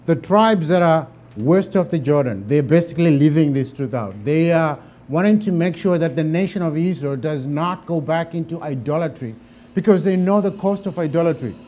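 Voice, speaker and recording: A middle-aged man with a deep Zimbabwean accent teaching a Bible class in a conversational way, often repeating words as he thinks. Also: moderate pace, warm tone.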